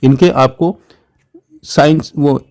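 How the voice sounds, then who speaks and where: A man's voice: a little high, fairly even intonation, slow pace, darkish tone. A man with an Indian accent giving an informal exam coaching lecture, instructive and repetitive, often checking in with short tags. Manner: Hindi mixed with English terms. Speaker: exam-prep teacher.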